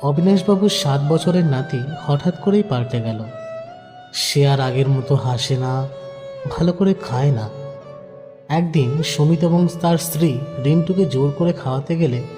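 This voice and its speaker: A man's voice: fairly high pitch, measured pace. A man narrating a scripted audio drama in a dramatic storytelling style. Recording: long reverb under clear background music.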